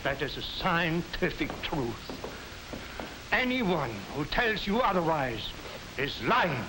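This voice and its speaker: An actor in a 1940s educational film playing a calm lecturer, speaking in a measured, didactic way. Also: male voice, a little high. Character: calm man lecturing against racial myths. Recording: hissy 1940s film soundtrack.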